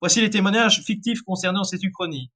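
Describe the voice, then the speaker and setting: Male narrator, bright voice, self-correcting read. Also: high-pitched, fairly quick. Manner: reads with frequent restarts and self-corrections. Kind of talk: read alternate-history narration.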